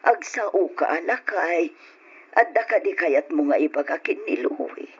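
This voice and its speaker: A woman playing an affectionate, emotional middle-aged aunt in an old radio drama, with expressive, drawn-out delivery. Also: lowish voice, breathy edge, heavily acted. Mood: tearful remorse. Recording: dull old radio recording.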